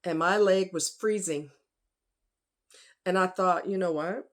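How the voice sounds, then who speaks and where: A woman's voice: lowish voice, slow pace. A woman vlogger talking casually and directly to her viewers in a folksy, conversational, emphatic way.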